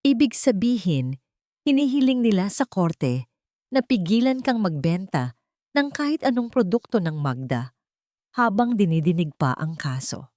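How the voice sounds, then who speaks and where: Woman reading as grave, measured elderly male lawyer. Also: medium pitch, sing-song, darkish tone, no room sound, clean studio sound, pitched above the performer's own voice. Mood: grave, blunt. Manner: measured, serious, straight-talking.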